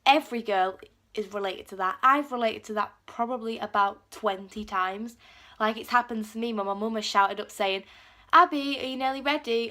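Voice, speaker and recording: A teenage girl chatters to her viewers, her voice bright and a bit high. She has a cold, and her talk is loose and full of "like" as she acts out little quotes. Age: teens. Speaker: vlogger. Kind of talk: casual talk to camera.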